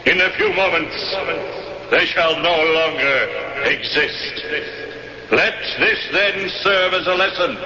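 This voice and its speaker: An actor playing a stern, menacing censor of a tyrannical regime in a pompous, declamatory radio drama style. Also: male voice, sits high, slow pace, a crowd in the background. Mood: solemn, menacing. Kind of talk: 1970s radio drama acting. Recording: echoey hall, dull old radio recording.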